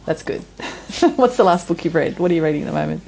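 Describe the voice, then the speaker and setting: Aussie lady host, lowish voice, friendly questions, a bit of faint hiss behind. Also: animated, brisk, gravelly, darkish tone. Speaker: podcast host. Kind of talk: interview chat.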